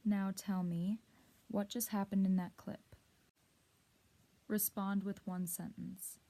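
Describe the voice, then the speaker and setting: A woman presenting a scripted lesson in a measured, explanatory way, speaking directly to the viewer. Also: on the low side, mellow. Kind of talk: scripted instructional narration.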